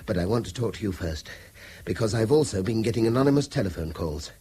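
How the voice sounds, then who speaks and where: A British male schoolteacher in a radio drama. His voice is slightly rough and very animated in pitch, his wording formal and exact, his temper strained. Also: fast. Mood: tense, grim.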